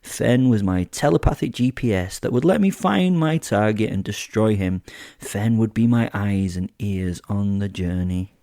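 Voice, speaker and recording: A man's voice: fairly low pitch, a little slow, dark tone, no echo, studio quality. A man voicing a sadistic werewolf killer, narrating a horror audio drama in a theatrical, savouring, wordy way. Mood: gloating, amused. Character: sadistic gloating male werewolf killer telling his hunt.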